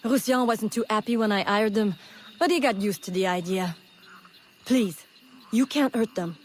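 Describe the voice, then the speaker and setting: An actress playing a brash, loyal smuggler in a video game, frank and confident. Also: female voice, medium pitch, moderate pace, a little hiss or hum, lightly acted. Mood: brisk, loyal. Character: brash, loyal female smuggler, plain-spoken. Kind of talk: dramatic video game acting.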